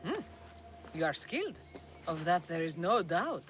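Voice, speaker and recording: A woman playing a sly, commanding huntress in a video game cutscene, measured and teasing, with faint insects behind her. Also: on the low side, big pitch swings, soft background music. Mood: sly, flirtatious. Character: sly, commanding huntress devoted to a Greek goddess.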